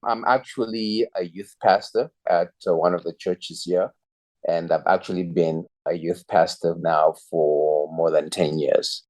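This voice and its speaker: Deep-voiced man, earnest. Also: steady tone, a little slow, a little mellow, soft background music. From Zimbabwe. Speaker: guest, youth pastor. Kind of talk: podcast interview, personal story.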